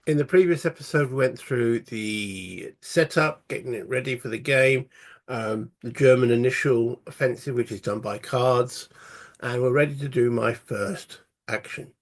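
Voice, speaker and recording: A man, croaky from a cold, casually talking through a solo board game and thinking aloud with many fillers. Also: medium pitch, moderate pace. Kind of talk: casual tabletop playthrough commentary.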